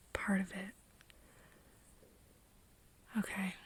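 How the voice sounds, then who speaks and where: A woman playing a nervous, tender young friend of a vampire in an ASMR roleplay, speaking softly and hesitantly, often slipping into a whisper. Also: mid-range voice, full character voice. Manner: hesitant, halting, breathy whispering mixed with soft speech. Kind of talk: ASMR roleplay, intimate one-sided dialogue to listener.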